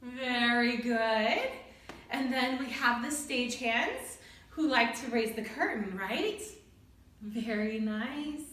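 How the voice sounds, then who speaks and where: A woman's voice: on the high side, animated, slow pace, fairly bright tone. A woman leading an interactive music lesson for young children, encouraging them with short questions and praise. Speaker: children's music class leader. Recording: echoey room, distant mic, faint music.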